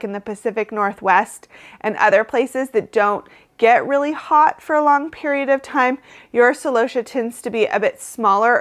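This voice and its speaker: A woman in her thirties from Oklahoma, a flower farmer hosting her channel in a casual, upbeat, chatty way with many fillers, a little sniffly from a cold. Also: medium pitch. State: heavily pregnant. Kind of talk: casual talk to camera.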